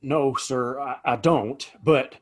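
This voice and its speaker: A man's voice: mid-range voice, slow pace. Male actor, decent saloonkeeper in a Western audio play: mid-range voice, apologetic, hesitant, sincere. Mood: resigned, pleading. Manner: hesitant, earnest, stammering. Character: decent, apologetic saloon bartender in Old West. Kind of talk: Western audio drama acting.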